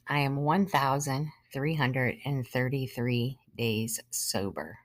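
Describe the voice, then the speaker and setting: A woman in her thirties, a podcast host sharing her own story in an earnest, measured way, sometimes short of breath and pausing. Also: rumbling low, no echo, studio quality. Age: 30s. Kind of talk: personal testimony monologue.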